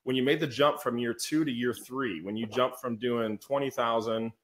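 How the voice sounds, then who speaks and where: A man's voice: medium pitch, fairly even intonation, moderate pace, slight background noise. Friendly male interview host. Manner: friendly, calls guest "man". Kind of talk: casual live-stream interview.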